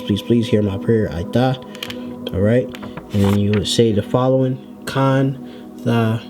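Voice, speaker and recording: A man giving an informal scripture lesson, explaining word by word in a halting, deliberate way and often checking in as he goes. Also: on the low side. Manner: halting, deliberate, frequently checking in with "all right". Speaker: religious Hebrew language teacher.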